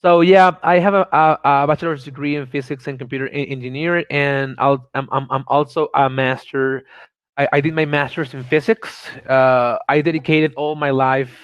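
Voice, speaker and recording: A man's voice: mid-range voice, steady tone, moderate pace, clear, resonant tone, dry recording. A man in his thirties from Venezuela with a Venezuelan Spanish accent, giving an online conference talk in an eager way, often repeating words and restarting. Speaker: conference speaker. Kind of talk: online presentation.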